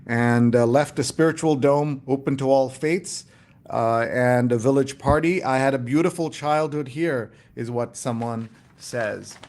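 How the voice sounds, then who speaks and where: A man hosting a live newspaper read-along, reading headlines aloud and commenting casually in a halting, think-aloud way. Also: medium pitch, a little mellow.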